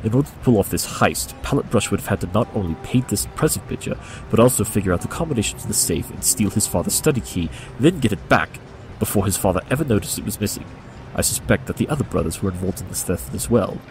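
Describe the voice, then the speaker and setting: A brilliant and self-assured detective stallion played by a man lays out deductions in a lowish voice. He is aloof and condescending, measured in delivery, with light music underneath in audio drama fashion. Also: lively intonation, lightly acted, rough recording. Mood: analytical. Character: aloof, brilliant male pony detective, confident and smug.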